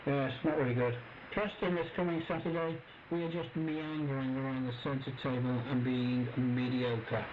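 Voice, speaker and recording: A man's voice: medium pitch, fairly even intonation, moderate pace, hardly any pauses. Male amateur radio news reader, chatty and dry, roomy muffled shortwave with static. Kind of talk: casual radio news bulletin.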